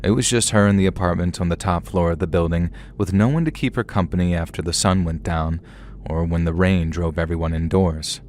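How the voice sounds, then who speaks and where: A man's voice: low-pitched, steady tone, brisk, a little mellow. A man narrating a first-person horror story in a conversational storytelling manner, with casual asides to the listener.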